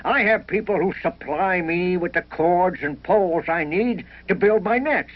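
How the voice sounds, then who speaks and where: A worried cartoon villager who makes nets, pleading his case. The voice is high and husky, with very lively swings in pitch. It comes through muffled, like an old film soundtrack. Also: a little slow. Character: worried pleading male net-maker, a small-business villager in cartoon.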